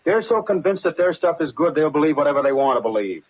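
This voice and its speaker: An actor playing a shameless, self-assured racketeer in a vintage radio drama, assertive and scornful. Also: male voice, fairly high pitch, big pitch swings, fast talker. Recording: tinny, narrow old radio recording.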